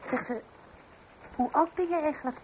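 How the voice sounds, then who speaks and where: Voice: high-pitched, normal speed, natural voice. An actor playing a tactlessly frank, incredulous office acquaintance in a radio play. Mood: astonished, blunt. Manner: incredulous, blunt. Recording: noisy old radio play recording.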